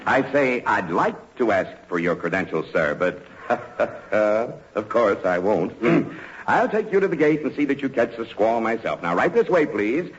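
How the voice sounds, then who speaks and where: An actor playing a fussy, courteous railroad executive, hesitant and easily flustered, in an old-time radio comedy. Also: male voice, on the low side, sing-song, a little slow. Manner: hesitant, stammering, formal. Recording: muffled, noisy vintage radio recording.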